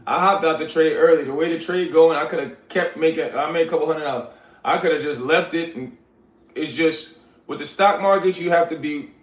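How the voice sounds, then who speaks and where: Guy from liberia chatting on his livestream, easygoing and slangy, kinda higher voice. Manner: laid-back, addresses viewers as y'all, slangy. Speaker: livestream host reading chat. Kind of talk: casual livestream chat. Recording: roomy echoey sound.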